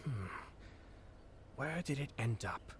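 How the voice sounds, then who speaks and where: An actor playing a composed, refined young nobleman in an anime-style video game, speaking in a measured, formal way. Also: medium pitch, lively intonation, husky, exaggerated voice. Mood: calm, thoughtful.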